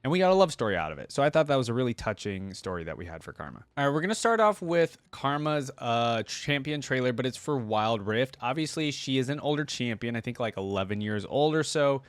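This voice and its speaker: A man's voice: medium pitch, big pitch swings, a little fast, few pauses, dry recording. A man doing casual reaction commentary and read-aloud of game lore, chatting informally with plenty of filler. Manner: informal, filler-heavy asides. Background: music.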